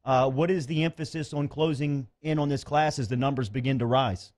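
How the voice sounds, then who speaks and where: Male sports radio host, mid-pitched. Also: fairly quick, a little mellow. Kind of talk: conversational interviewing.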